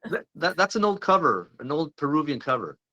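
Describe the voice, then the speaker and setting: A man's voice: a little high, sing-song, a little fast, sharp tone, no echo, highs cut off. A man who owns a record shop, talking as a guest in a casual interview, laid-back and advising.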